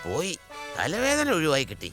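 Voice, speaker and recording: A man's voice: fairly high pitch, wildly swooping pitch, normal speed. A man voicing a scheming, exasperated adult kidnapper in a Malayalam children's cartoon. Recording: loud background music.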